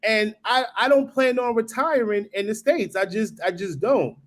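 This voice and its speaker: High-voiced man in his forties. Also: moderate pace. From New York. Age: 40s. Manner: relaxed and conversational. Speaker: guest. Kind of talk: casual live-stream chat.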